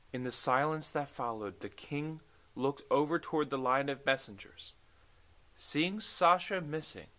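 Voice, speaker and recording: A man reading a children's book aloud as an expressive, dramatic storyteller. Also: medium pitch. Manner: dramatic, animated. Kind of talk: expressive bedtime story narration.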